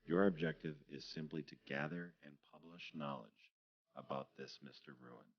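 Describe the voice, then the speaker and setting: A man playing a cold, formal official from the future in a radio drama, speaking in a measured, ominous way on a muffled recording. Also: very deep voice. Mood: cold, solemn.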